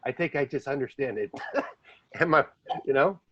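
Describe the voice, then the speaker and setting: A man's voice: medium pitch, expressive, moderate pace, fairly bright tone, no room sound, highs cut off. A man hosting a podcast, telling rambling stories in a casual chat. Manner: rambling storyteller, jumps between languages. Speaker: podcast host. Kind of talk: casual conversation.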